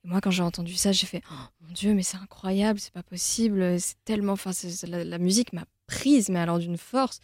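A nineteen-year-old woman from southern France, a singer talking calmly and easily as a radio guest. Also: fairly low pitch, a little fast, hardly any pauses, no echo, studio quality. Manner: calm, easygoing, soft-spoken. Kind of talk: relaxed interview talk. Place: radio studio.

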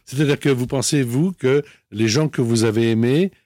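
Guy interviewing, keeps rephrasing his questions, mid pitch, dry close sound. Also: moderate pace, mellow, no echo. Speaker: interviewer, host.

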